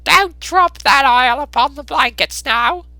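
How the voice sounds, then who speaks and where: A man acts out a callous Victorian charwoman for a storybook. The voice is very high and piercingly sharp, with drawn-out, slow words. She is brazen and coolly scornful, without a shred of shame. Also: no echo, heavily acted. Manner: coolly unashamed, drawn-out scoffing delivery. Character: brazen, callous working-class charwoman hawking plunder. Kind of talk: dramatised Victorian storybook reading.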